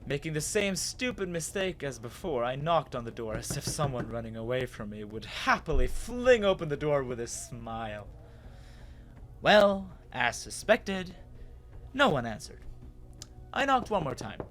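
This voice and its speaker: Man, medium pitch, expressive first-person storytelling, dramatic reading style, background music. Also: a little slow. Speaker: narrator.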